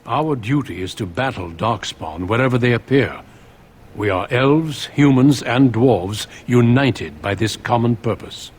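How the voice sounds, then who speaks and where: An actor playing a courteous, composed veteran warrior in a fantasy video game, speaking formally and in a measured, husky voice. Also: male voice, mid-range voice, lively intonation. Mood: courteous, solemn.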